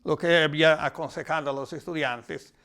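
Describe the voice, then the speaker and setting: A man with an Italian accent giving a reflective, explanatory talk in a language that is not his native one, sometimes halting as he searches for words. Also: on the high side, fairly even intonation, sharp tone, smooth. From Italy. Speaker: lecturer.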